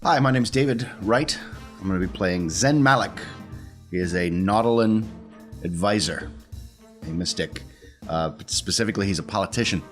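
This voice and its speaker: A man's voice: deep voice, normal speed, pauses often, soft background music. A man talking casually as a tabletop role-playing player, explaining the character he plays. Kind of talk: casual game-table chat, describing his character.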